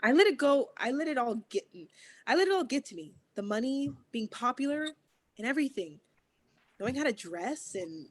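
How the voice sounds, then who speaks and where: A woman's voice: high voice, sing-song, moderate pace. A woman reading the part of a guarded teen girl who breaks down, in an amateur table read. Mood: confessing. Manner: emotional, breaks into laughing tears. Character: teen girl April, guarded then tearful.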